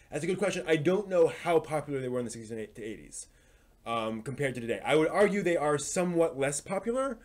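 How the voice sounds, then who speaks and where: Male live stream host, mid-pitched, conversational, halting delivery as he works through his thoughts and commentary.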